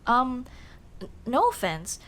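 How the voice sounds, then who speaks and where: A woman's voice: a little high, huge pitch swings, slow, full character voice. A young woman playing a shy, teasing tomboy childhood friend in love, in a casual, hesitant and intimate ASMR roleplay. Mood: nervous, teasing. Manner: playful, bashful, hesitant, casual dude-talk. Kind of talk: ASMR roleplay acting, intimate girlfriend scene.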